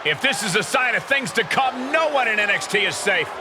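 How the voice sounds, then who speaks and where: A man playing an animated wrestling commentator in a video game, calling the match in a raised, urgent voice. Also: high-pitched, fairly quick. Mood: urgent, excited. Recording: very loud crowd and arena noise.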